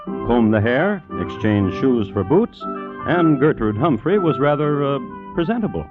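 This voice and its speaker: A man narrating a 1950s radio drama in a wry, deadpan, deliberate way, on a thin old recording. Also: medium pitch, phone-quality sound. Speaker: narrator, host. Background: music.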